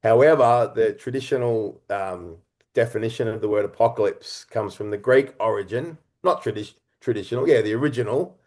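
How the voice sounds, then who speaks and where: A man speaking as an interview guest, earnest and reflective, explaining his creative work in halting, conversational phrases. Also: on the low side, darkish tone. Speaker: interview guest, writer-director and actor.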